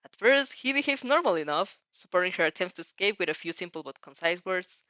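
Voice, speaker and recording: Animated woman reading a story, slightly muffled. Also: lowish voice, brisk, dry recording. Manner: stumbles over words. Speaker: amateur fan fiction reader. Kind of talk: expressive story narration.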